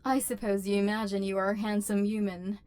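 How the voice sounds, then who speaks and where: A woman's voice: medium pitch, flat delivery, a little fast, darkish tone, dry recording, close to an everyday voice. A woman voicing an embittered, lonely robot overlord in an ASMR roleplay, with formal, precise diction and hurt tenderness underneath. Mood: cold, guarded. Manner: formal, precise, stilted diction with wounded feeling. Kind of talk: scripted ASMR roleplay acting.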